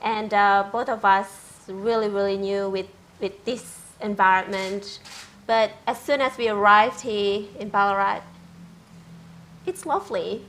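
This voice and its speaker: A woman from Indonesia, telling her story as a panelist, hesitant and pausing often. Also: medium pitch, fairly even intonation, a bit bright. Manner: hesitant, with frequent ums and non-native grammar.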